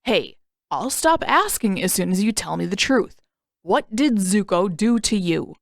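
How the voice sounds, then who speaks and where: A woman's voice: medium pitch, sing-song, unhurried, gravelly, dry recording, clean studio sound. Woman voicing a pushy, exasperated teenage brother. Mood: insistent, protective. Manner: insistent, exasperated prodding. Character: pushy, protective teenage boy, a suspicious older brother.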